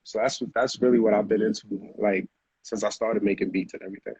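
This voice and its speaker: A man's voice: fairly low pitch, fairly even intonation, brisk, a crowd in the background. A man, talking casually as an interview guest in slangy, repetitive phrasing, on a dull lo-fi recording. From Honduras, moved to the Bronx at eight. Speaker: music producer, interview guest.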